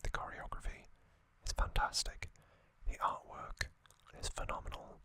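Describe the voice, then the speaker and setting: A man whispering a calm trivia recap for an ASMR video close to the microphone, with soft ums and casual asides. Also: moderate pace. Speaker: ASMR channel host.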